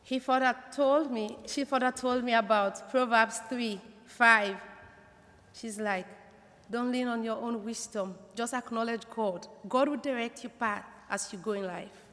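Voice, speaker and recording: Higher-voiced woman in an echoey hall. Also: measured pace, a bit bright. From Africa. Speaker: church member introducing speakers. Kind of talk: personal testimony to a congregation. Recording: echoey hall with long reverb.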